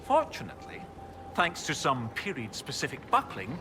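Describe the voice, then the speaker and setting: A man's voice: mid-range voice, huge pitch swings, moderate pace. An actor playing an earnest, bookish male scholar in a video game, eager, pedantic and slightly flustered. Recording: clear background ambient noise.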